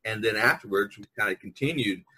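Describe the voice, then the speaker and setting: Bright, slightly husky American man hosting. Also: on the low side, brisk, low-quality recording. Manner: talkative, jokey, prodding the others to talk. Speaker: podcast host, visual artist. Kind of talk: casual video-call podcast chat. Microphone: online video conference call.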